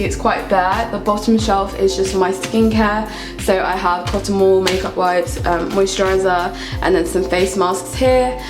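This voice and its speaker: Young british girl vlogging a flat tour, chatty and casual, echoey with music behind. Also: medium pitch. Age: young adult. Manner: chatty, informal, upbeat. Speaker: vlogger, university student. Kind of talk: casual room tour vlog. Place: indoors, small student flat. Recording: echoey room with music underneath.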